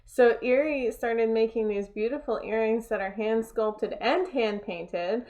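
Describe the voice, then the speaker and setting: A woman's voice: on the high side, measured pace, a crowd in the background. A woman hosting a casual interview, speaking in an informal, chatty and affirming way. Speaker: interview host.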